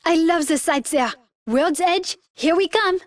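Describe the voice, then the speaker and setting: A bubbly young video game heroine. Her voice is extremely high and dry, chirping along with sweet, playful eagerness. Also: measured pace, no room sound. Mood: playful cheer. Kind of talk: video game character voice lines.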